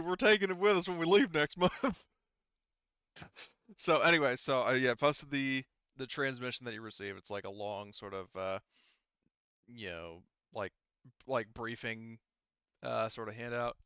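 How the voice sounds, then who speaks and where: A man acting as game master, explaining a tabletop game casually in halting, rambling stretches over voice chat. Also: mid-range voice, fairly bright tone, clear, resonant tone, no room sound, muffled recording.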